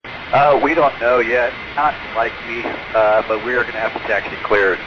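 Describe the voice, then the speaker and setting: A man, an airline pilot, making clipped, low-key readbacks to air traffic control over aviation radio. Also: normal speed. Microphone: cockpit radio transmission. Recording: muffled cockpit radio with clear noise.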